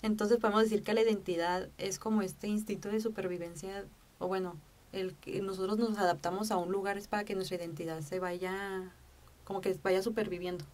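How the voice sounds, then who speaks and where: A woman's voice: mid-range voice, fairly even intonation, a little fast, darkish tone. A woman co-hosts a podcast and asks questions while hunting for her words. She sits a little away from the microphone, so her voice sounds distant. Her speech is casual and unscripted. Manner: hesitant, searching for words. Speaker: podcast co-host. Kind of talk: casual conversation. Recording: distant from the mic.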